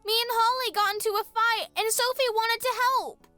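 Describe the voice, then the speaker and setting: A woman's voice: extremely high pitch, steady tone, normal speed, pushed and bright, no room sound, faint music behind. A woman playing a frightened, pleading little girl in a horror radio drama, hesitant and timid.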